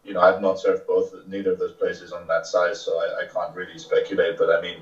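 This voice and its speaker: Deep-voiced hesitant man, echoey sound. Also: monotone, a little fast, far from the mic, muffled recording. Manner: hesitant, many fillers and restarts. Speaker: guest, surfer. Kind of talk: casual interview chat.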